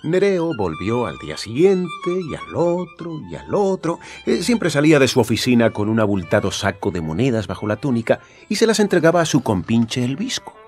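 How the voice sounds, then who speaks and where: A man's voice: mid-range voice, huge pitch swings, moderate pace, hardly any pauses, darkish tone, faint music behind. An actor playing a down-to-earth male storyteller in a radio drama, speaking colloquially. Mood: storytelling.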